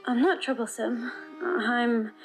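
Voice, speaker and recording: A young Victorian woman burning with resentment. Her voice is on the high side, sullen and impassioned, over quiet music in an audio drama. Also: steady tone, takes it slowly, poor audio. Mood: resentful.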